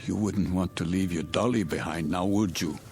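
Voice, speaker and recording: Deep-voiced, hesitant ship passenger. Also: male voice, darkish tone. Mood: gentle. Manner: hesitant, halting, formal. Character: uneasy, confused, reserved male ship passenger.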